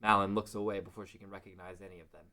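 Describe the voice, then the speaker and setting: Guy narrating a fantasy script, voice on the low side. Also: little pitch movement, racing, sharp tone.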